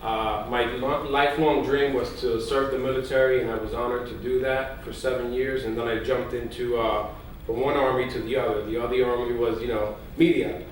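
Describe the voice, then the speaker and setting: A man from Puerto Rico, a news executive on a conference panel, telling energetic stories and dropping in Spanish phrases. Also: mid-range voice, normal speed. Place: large conference hall. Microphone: distant mic in an echoey hall. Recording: echoey hall recording from far off, faint noise.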